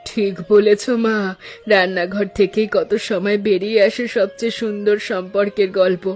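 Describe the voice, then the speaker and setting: A woman's voice: mid-range voice, level tone, darkish tone, soft background music, natural voice. A woman playing a wise, sentimental elderly mother-in-law in a cartoon story, speaking in a reflective way. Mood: approving, solemn. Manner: slow, reflective, proverb-giving.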